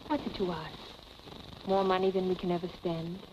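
Actress as a scheming forties femme fatale, hissy soundtrack. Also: female voice, fairly low pitch, flat delivery, moderate pace, lo-fi sound, lightly acted. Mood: calculating. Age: young adult. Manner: cool, coaxing, condescending. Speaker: film actor. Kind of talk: 1940s film acting. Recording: noisy vintage film soundtrack.

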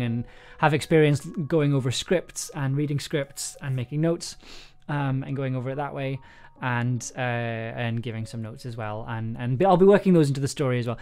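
A man's voice: mid-range voice, a little mellow, clean tone. A young adult man chatting casually on a livestream, hesitant and rambling as he thinks aloud. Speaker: audio drama producer and streamer. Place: small indoor room at home. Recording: music playing underneath. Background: background music.

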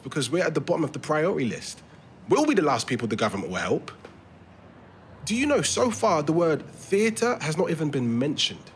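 A man's voice: medium pitch, close to an everyday voice. An actor playing an eager, earnest young London theatre actor in an audio drama, overenthusiastic and stumbling over his words, with a London accent. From London, England. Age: young adult. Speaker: actor in a fiction podcast. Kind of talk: naturalistic audio drama dialogue. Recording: faint ambient background noise.

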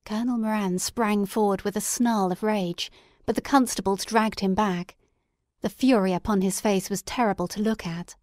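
A woman's voice: medium pitch, steady tone, normal speed, darkish tone, no echo, clean studio sound. A woman reading scripted literary narration as an audiobook narrator.

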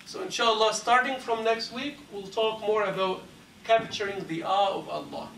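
A man's voice: sits high, vocal fry, fairly bright tone. Man teaching a class in an echoey hall. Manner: measured and explanatory, taking questions from the class. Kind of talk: mosque class lecture. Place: large carpeted mosque hall. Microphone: lapel mic, filmed by a far camera. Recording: echoey hall from a distance, faint hiss.

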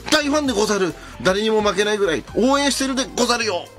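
A man acts out a nerdy, excitable idol superfan in a comic radio skit. He talks in pompous old samurai phrases with flustered stammers, and his pitch swings widely over light music. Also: racing. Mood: flustered, adoring. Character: excitable nerdy male anime idol superfan, archaic samurai-style speech.

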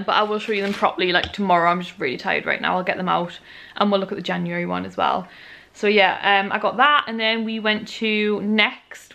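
Girl, croaky tired voice, chatty rambling vlog, camera held out at arm's length. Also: medium pitch, bright, forceful tone. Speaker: vlogger. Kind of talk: casual chat to camera. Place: small bedroom at home. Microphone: handheld camera at arm's length.

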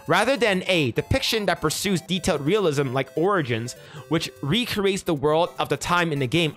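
A young man in a small room, reacting to social media posts on stream and reading them aloud in a flat, deliberate way, with casual swearing in between. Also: a little high. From United States. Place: small room indoors at a desk. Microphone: headset.

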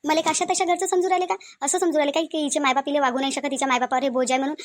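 A woman's voice: high voice, steady tone, racing, natural voice. A woman with a Varhadi Marathi accent playing a stubborn, aggrieved young daughter-in-law in a cartoon family drama, complaining insistently and repeating herself. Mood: indignant.

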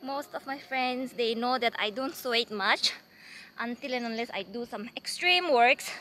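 Slow-talking young woman on a hiking trail. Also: fairly high pitch, bright, forceful tone, slight background noise, poor audio. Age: young adult. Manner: chatty, breathless from climbing, self-encouraging. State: tired and sweating from climbing. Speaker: travel vlogger. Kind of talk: hiking vlog narration. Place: outdoors on a forest mountain trail. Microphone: handheld camera held close.

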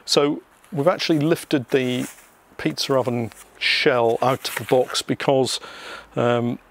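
A man with a British accent talks to his viewers as the host of a casual vlog. His voice sits at a middle pitch. He explains things step by step in a chatty way, with some hesitant phrasing, speaking outdoors. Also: slow, gravelly. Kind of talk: casual unboxing narration to viewers.

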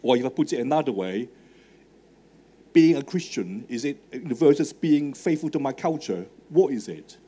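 A man's voice: mid-range voice, lively intonation, moderate pace, warm tone. Man with a Hong Kong accent. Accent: Hong Kong English. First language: Cantonese. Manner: conversational with fillers, asks the audience questions. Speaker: speaker at a student evangelistic talk. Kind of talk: live talk to a student audience. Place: large marquee tent with a stage. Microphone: handheld microphone.

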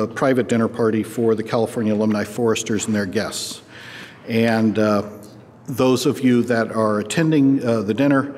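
An older male professor at a podium introducing a guest lecturer, halting and full of filler words. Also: medium pitch, a little mellow. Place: large lecture hall, at a podium. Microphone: podium microphone. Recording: reverberant hall, faint background noise.

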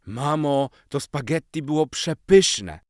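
A man voicing an excitable, affectionate seven-year-old boy in a children's story, eager and exclaiming. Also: a little high, slow pace, dry recording, studio quality, voice pushed up. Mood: excited.

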